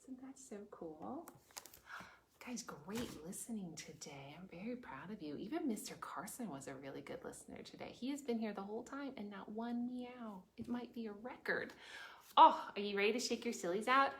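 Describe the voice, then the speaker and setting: A woman's voice: mid-range voice, expressive, moderate pace, a bit bright, a little hiss or hum. A woman hosting a preschool storytime, reading a picture book aloud in a sing-song way and putting questions to young listeners. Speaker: children's librarian, storytime host. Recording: roomy, echoey indoor sound.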